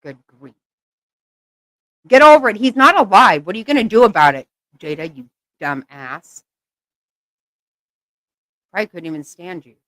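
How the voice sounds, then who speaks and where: A woman's voice: medium pitch, animated, measured pace, long pauses, fairly bright tone, dry recording, rough recording. A woman giving blunt, exasperated reaction commentary, swearing often. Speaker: channel host reacting to clips.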